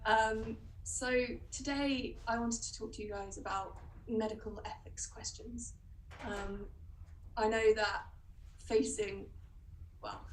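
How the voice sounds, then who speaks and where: British woman doctor with a cold. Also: medium pitch. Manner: hesitant, with many ums and restarts. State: sniffly with a cold. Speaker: doctor giving a webinar talk. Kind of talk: presenting a webinar. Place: indoor room. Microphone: video call webcam. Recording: distant mic with faint background noise.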